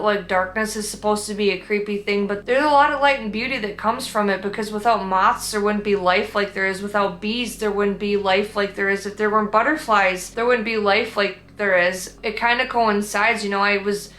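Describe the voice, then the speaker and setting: A woman's voice: mid-range voice, moderate pace, fairly bright tone, echoey room. A young woman with a Minnesotan accent, chatting casually and rambling about her own painting in a vlog, with lots of fillers and restarts. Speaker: artist vlogger.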